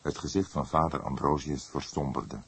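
A man reading a detective story aloud as an audiobook narrator, in a measured, literary storytelling manner. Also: rumbling low, vocal fry, a bit bright, husky.

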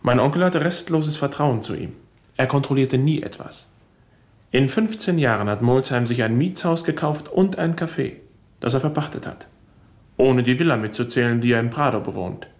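An actor playing a cocky young bank clerk who speaks in a sardonic, insolent way in a 1970s radio drama. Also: male voice, medium pitch, normal speed, close to an everyday voice. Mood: guarded. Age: young adult.

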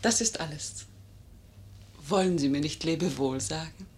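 A woman's voice: low voice, normal speed, a little mellow, slightly rough. An actress playing a bold, witty, scheming lady who is teasing and proud, in a 1950s German radio play. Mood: cool, mocking.

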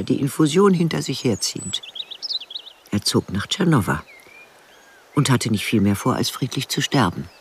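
Deep-voiced old village woman, lively intonation. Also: a little hiss or hum. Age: older. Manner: matter-of-fact, dryly blunt. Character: old village woman, plainspoken, wry and caring. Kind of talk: radio drama first-person narration and dialogue.

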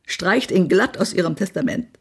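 An actress playing a spiteful, haughty small-town society gossip in a German radio play, blunt and imperious. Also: female voice, fairly low pitch, expressive, normal speed, vocal fry, breathy edge, full character voice. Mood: scornful, smug. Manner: blunt, acid, imperious, swearing.

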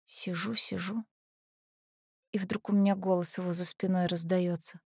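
A woman's voice: lowish voice, steady tone, moderate pace, husky, no room sound. Casting: adult woman as tough but naive lovestruck orphan teen girl, first-person dramatic monologue, colloquial street slang, confiding delivery, dry studio sound. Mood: excited, anxious. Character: brash lovestruck teenage orphanage girl telling her story.

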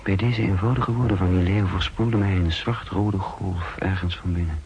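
An actor playing a brooding male secret agent in a 1960s radio drama, speaking formally and with measured introspection. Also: low voice, steady tone, a little mellow. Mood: bitter. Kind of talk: 1960s radio drama, dialogue and first-person narration. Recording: dull, noisy 1960s radio recording.

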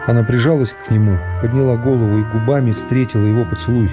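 A middle-aged man narrating a classic novel for radio in a measured, dramatic storytelling manner. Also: medium pitch, fairly even intonation. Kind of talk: literary narration in a radio play. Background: music.

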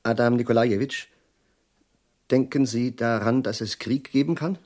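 An actor in a German radio play, playing an eccentric, brooding chemist who speaks abruptly and rants with irony. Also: male voice, medium pitch, steady tone, normal speed, darkish tone, lightly acted. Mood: brooding, agitated. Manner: abrupt, exclamatory, ironic, swinging into rants. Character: eccentric male chemist, agitated, brooding, prophesying war.

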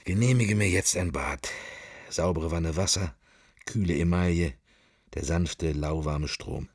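A man's voice: deep voice, measured pace, breathy edge, dry recording, studio quality. An actor playing a wry middle-aged everyman musing to himself in clipped fragments in a radio play. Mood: dreamy musing. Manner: clipped, wandering interior monologue. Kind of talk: intimate literary radio play monologue.

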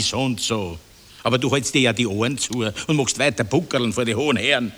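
A man's voice: medium pitch, fairly bright tone, in a large hall. An actor playing a hot-tempered, scornful socialist father with a Viennese accent, declaiming in old radio drama style. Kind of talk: 1960s German-language radio drama acting.

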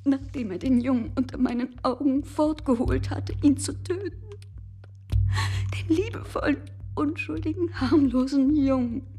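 An actress playing a desperate, clinging wife in a radio drama, pleading breathlessly between whispers and cries. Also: female voice, sits high, a little slow, mellow, faint music behind. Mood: anguished, shaken. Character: desperate, frantic, clinging wife who has killed her husband.

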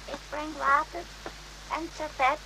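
High-voiced, gentle Vietnamese hospital helper. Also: female voice, little pitch movement, gravelly, slight background noise, full character voice, low-quality recording. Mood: quietly insistent. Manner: broken, halting Dutch in short simple phrases. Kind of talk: radio drama acting.

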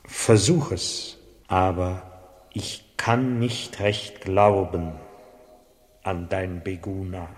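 An actor playing a stately, sceptical older bishop in a radio drama, speaking huskily in a measured, solemn way. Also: male voice, low voice, steady tone, slightly rough, heavily acted. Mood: doubtful, reproving.

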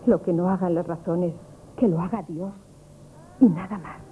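An actress in a 1970s Spanish radio play as a kind-hearted but feisty young shopkeeper's daughter, speaking with an accent from Spain. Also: female voice, lowish voice, steady tone, moderate pace, warm tone, close to an everyday voice. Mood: indignant. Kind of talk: 1970s radio comic theatre acting. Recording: dull, noisy vintage radio broadcast.

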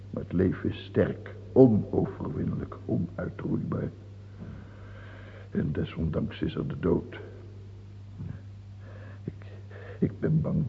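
An old, cruel and frightened king in a radio play, self-pitying and brooding. His low voice swings widely as he mutters and hesitates. The sound is narrow and phone-like, like an old broadcast. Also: creaky, heavily acted. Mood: fearful, confiding. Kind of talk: theatrical radio play acting. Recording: muffled phone-like old radio recording, hiss and hum.

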